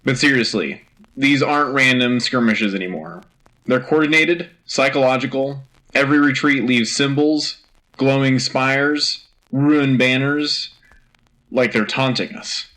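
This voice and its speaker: A man's voice: medium pitch, measured pace, bright, forceful tone, rough recording. A man playing an earnest, rousing war news anchor on a sci-fi radio show, with dramatic, punchy delivery. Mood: grave, urgent.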